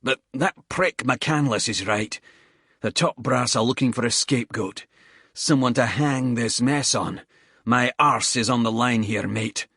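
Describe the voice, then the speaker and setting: Male narrator doing a blunt, sweary soldier, winded, husky, louder than the narration. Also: mid-range voice, unhurried, dry recording, studio quality, full character voice. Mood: defensive. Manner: blunt, sweary, breathless between words. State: out of breath.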